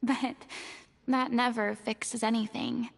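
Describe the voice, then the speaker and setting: A woman voicing an earnest, guilt-ridden young former idol in a video game, measured and wistful. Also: a little high, level tone, vocal fry. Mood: reflective. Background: prominent game music.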